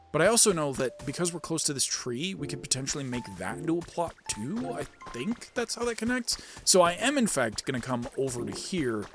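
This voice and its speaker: A man giving casual video game playthrough commentary, thinking aloud and correcting himself as he goes. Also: a little high. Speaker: video game player and amateur voice actor.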